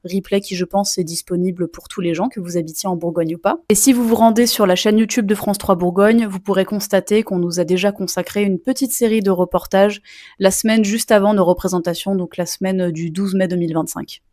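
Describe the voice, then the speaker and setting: A woman's voice: medium pitch, fairly even intonation, fairly quick, a little mellow, no echo. Chatty young woman, dry close sound. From Sicilian heritage. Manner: chatty, frequent fillers and asides. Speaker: vlogger and mezzo-soprano opera student. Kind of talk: casual storytelling to camera.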